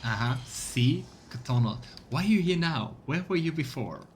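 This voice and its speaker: A man streaming a role-playing game, chatting casually as he plays and rattling off item descriptions in quick bursts. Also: fairly high pitch, animated, slight background noise. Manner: thinks aloud, reads item text in quick bursts. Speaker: let's play streamer. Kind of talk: casual gameplay commentary.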